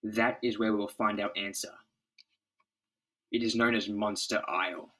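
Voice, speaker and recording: A man's voice: fairly low pitch, little pitch movement, moderate pace, fairly bright tone, lightly acted. A man playing an authoritative scientist leader, serious, urgent and declarative. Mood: resolute. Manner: earnest, declarative.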